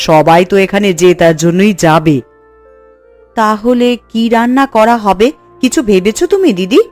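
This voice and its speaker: A hopeful village housewife, acted by a woman, from a Bengali cartoon. She chats in a homely way at a middling female pitch, over background music. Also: expressive, normal speed, darkish tone, lightly acted. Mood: hopeful, practical. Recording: music under the voice.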